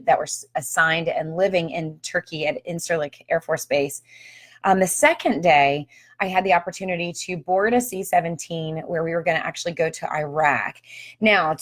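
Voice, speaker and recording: A woman with a slightly low, slightly hoarse voice talks casually to her viewers on a live stream. She sounds friendly and chatty, often pausing on "um" as she goes. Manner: chatty and upbeat, with frequent ums. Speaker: author and marriage counselor hosting a live video. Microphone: phone or webcam on a live stream.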